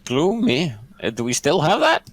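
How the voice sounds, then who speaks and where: A man's voice: on the high side, huge pitch swings, moderate pace. A man playing a tabletop game who gives terse, dry replies in casual chat. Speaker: tabletop player.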